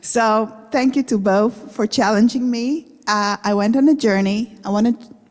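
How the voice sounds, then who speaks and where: A woman's voice: on the high side, a little slow, a little mellow. A woman with a Russian and Israeli accent giving a conversational, interactive lecture to a congregation, asking the audience questions. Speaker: guest lecturer. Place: synagogue hall. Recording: reverberant hall.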